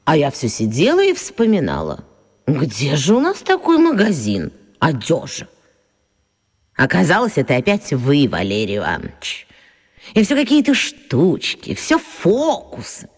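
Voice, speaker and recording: A woman's voice: deep voice, huge pitch swings, unhurried, husky, in a large hall, heavily acted. An actress playing a chatty, reproachful woman grumbling about tricks in a radio play.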